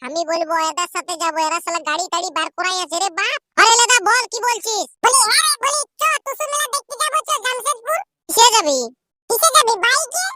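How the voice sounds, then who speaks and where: A performer voicing a brash, slangy young village lad in a comedy cartoon, with a Purulia accent and a squeaky pitched-up voice. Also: animated, brisk, very noisy. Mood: grumbling, scheming, then pushy, teasing. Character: rowdy young village lads squabbling and teasing, cartoon. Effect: pitched-up voice filter. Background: loud music.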